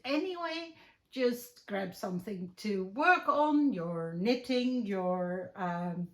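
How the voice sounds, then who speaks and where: Slow-talking Dutch woman, chatty crafter. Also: a little high. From Netherlands. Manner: chatty, many ums and restarts. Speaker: crochet vlogger. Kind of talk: casual chat while showing crafts.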